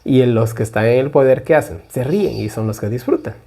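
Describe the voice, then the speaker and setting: A man's voice: medium pitch, fast talker, gravelly, dark tone. A man from Ecuador giving an informal Bible prophecy talk, rambling and conversational with frequent asides.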